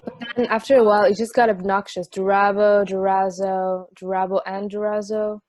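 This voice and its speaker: A woman's voice: mid-range voice, level tone, moderate pace, a little mellow, no echo, close to an everyday voice. A woman playing a playful, teasing long-married wife in an amateur table read of a play.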